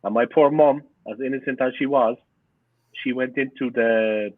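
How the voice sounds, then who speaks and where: A man's voice: mid-range voice, steady tone, moderate pace, no echo. Man, 40s, strong Cork Irish accent, earnest storyteller, podcast guest, thin phone-quality line, dry sound. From Cork, Ireland. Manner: earnest, repeats himself for emphasis. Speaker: podcast guest, fan channel owner. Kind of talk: casual interview storytelling. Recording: tinny phone-like remote line.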